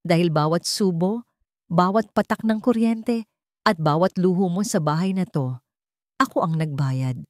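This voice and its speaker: A woman's voice: fairly low pitch, measured pace, darkish tone, no room sound, clean studio sound, close to an everyday voice. A woman voicing a wronged wife who is bitter, defiant and finally done, in a dramatized audiobook. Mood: firm defiance.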